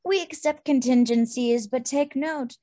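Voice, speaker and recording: A woman voicing a good-natured, wordy gnome bard in an animated fantasy table read, with a theatrical manner. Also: high-pitched, a little slow, no room sound, clean studio sound. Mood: earnest. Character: stout thirty-five-year-old gnome bard, good-natured and wordy.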